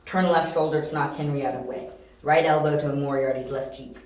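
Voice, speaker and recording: Man as young male detective, cocky, clipped and dry, higher voice, amateur audio drama, very echoey. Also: fairly even intonation, brisk, lightly acted. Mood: calculating. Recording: very echoey, distant, with faint hiss.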